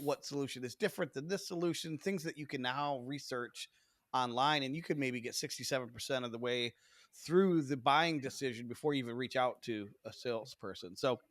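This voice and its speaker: A man's voice: mid-range voice, dry recording, clean studio sound. A man hosting a podcast, asking questions in a conversational interviewing style. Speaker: podcast host.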